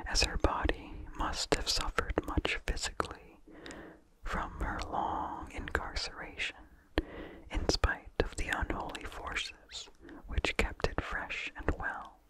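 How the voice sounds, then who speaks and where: An ASMR reader delivers a vintage horror tale entirely in a breathy whisper, with no voiced tone at all. The pace is very slow and deliberate, with pauses between short phrases. Soft mouth sounds come through between the words. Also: rough recording. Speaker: story reader.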